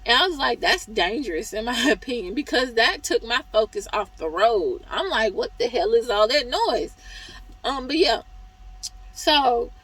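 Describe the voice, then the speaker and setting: Hoarse woman venting about work. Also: a little high, lively intonation, moderate pace, fairly bright tone, low-quality recording. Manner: chatty, exasperated venting with quoted reactions. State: hoarse, losing her voice. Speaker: truck driver vlogger. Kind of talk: casual vlog review.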